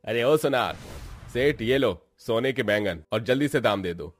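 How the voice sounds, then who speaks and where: A man's voice: mid-range voice, expressive, unhurried. A man playing a lazy, greedy village thief in a cartoon story, exclaiming and whining. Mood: pushy.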